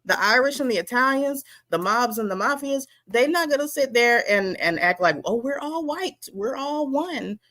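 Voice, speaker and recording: A woman's voice: sits high, animated, normal speed, hardly any pauses, a bit bright. A woman speaking as a guest in a passionate way, now and then mocking the voices of others. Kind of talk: passionate talk.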